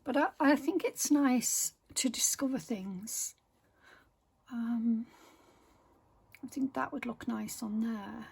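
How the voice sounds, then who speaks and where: A woman vlogging casually while she stitches at home, rambling softly with many pauses, her voice croaky from a sore throat. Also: on the high side, slight background noise. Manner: soft-spoken, rambling, many pauses and fillers, often half-whispered. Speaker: craft vlogger. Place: home room with window open.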